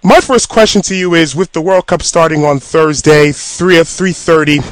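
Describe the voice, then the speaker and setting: A man's voice: fairly high pitch, moderate pace, dry recording. Podcast host man, dry close sound. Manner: even, formal delivery of stats and questions. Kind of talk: podcast interview and scripted intro.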